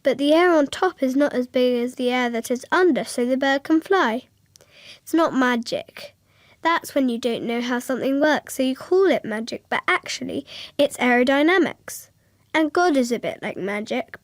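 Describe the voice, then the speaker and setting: Actress as an earnest high-voiced little girl. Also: normal speed, no echo, close to an everyday voice. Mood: earnest, matter-of-fact. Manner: childlike, literal, explanatory. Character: earnest, matter-of-fact six-year-old girl narrating her world. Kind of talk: radio drama acting and narration.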